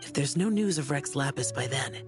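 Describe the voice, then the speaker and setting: Man voicing gentle youthful immortal, higher voice. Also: animated, music in the background, natural voice. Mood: thoughtful. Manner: formal, considerate, measured. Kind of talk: game cutscene voice acting.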